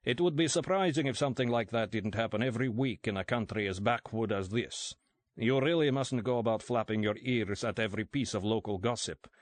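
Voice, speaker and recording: A man's voice: mid-range voice, dry recording, natural voice. A man voicing an amiable but patronizing male Soviet general in a storybook reading.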